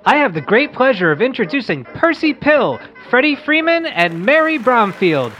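A woman's voice: a little high, very animated, moderate pace, a bit bright, soft background music. A woman playing an eager, wholesome young boy radio host in an old-fashioned radio play reading. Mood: eager, cheerful.

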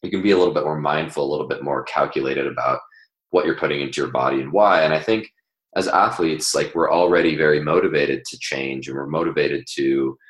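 A man talking casually about nutrition for athletes, explaining with many pauses and fillers. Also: a bass voice, fairly bright tone, reverberant. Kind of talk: casual podcast conversation.

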